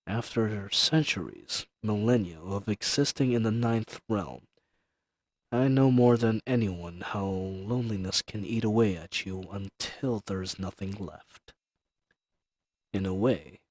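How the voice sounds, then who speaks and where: A man's voice: fairly low pitch, a little mellow, dry recording. A man voicing an ancient dragon familiar in an intimate audio roleplay, speaking formally, possessive and seductive, condescending yet soothing. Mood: tender, possessive. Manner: formal, archaic diction, soothing and teasing. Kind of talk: intimate scripted roleplay audio addressed to the listener.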